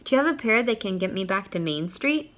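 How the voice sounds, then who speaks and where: A woman's voice: mid-range voice, lively intonation, brisk, a bit bright, lightly acted. A woman voicing a homesick, uncertain little boy in a storybook, earnest and wondering. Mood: hesitant, earnest.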